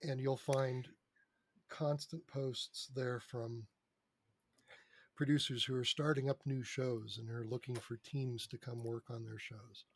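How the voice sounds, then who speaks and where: A man with a husky voice talking casually and candidly as an interview guest, explaining things through anecdotes. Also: medium pitch, level tone, measured pace, dry recording. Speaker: interview guest, radio drama producer. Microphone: close microphone on a remote online recording.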